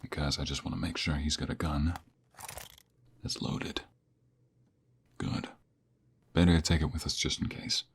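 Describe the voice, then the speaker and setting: A very husky, breathy mobster lover, acted by a man, desperate and protective of his beloved. His low voice crackles with gravel and often sinks into whispers. The delivery is intense and emotional, in the style of an ASMR romance audio drama. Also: a bit bright, exaggerated voice.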